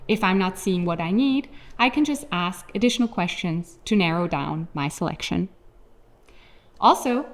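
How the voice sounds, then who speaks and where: A woman whose first language is German, giving a scripted, measured product keynote presentation. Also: mid-range voice.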